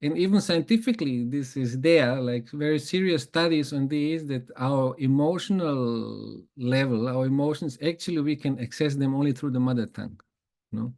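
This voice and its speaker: A man leads an informal spiritual talk in an easygoing, conversational way. His voice sits in an ordinary middle pitch, and he often chuckles and tags his sentences with small questions. His is Bosnian. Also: normal speed, vocal fry, a little mellow. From Germany. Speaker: spiritual teacher.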